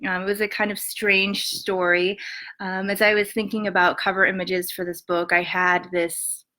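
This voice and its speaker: A woman poet reads her verse in a measured, quiet way and then talks about her book in a soft, halting voice full of ums. Her voice sits at an ordinary middle pitch. It sounds picked up by a webcam microphone at home. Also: flat delivery. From Montana and western Colorado, USA. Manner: soft-spoken, many ums, measured reading. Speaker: poet reading her work. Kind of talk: poetry reading with spoken introductions.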